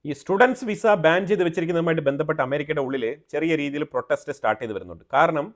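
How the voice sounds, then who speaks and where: A man's voice: fairly high pitch, animated, very fast. A man with a Malayali accent presenting explanatory news commentary, often rephrasing himself and mixing in English terms.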